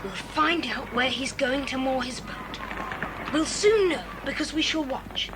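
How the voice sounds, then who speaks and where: A woman's voice: high voice, unhurried. A woman playing a resourceful young boy who takes charge, with a decisive British delivery in a children's audio drama. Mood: planning, confident. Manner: decisive, crisp commanding delivery. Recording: noisy old cassette recording.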